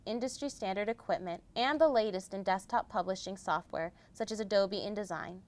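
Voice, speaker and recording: Young woman student editor reading a scripted promo, mid-pitched voice. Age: young adult.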